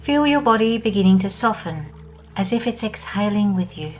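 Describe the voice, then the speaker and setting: A woman guiding a meditation in a soft, soothing voice, speaking in calm, unhurried phrases. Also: mid-range voice, faint music behind, low-quality recording. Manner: soft, slow and soothing, with long pauses. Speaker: meditation guide. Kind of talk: guided meditation.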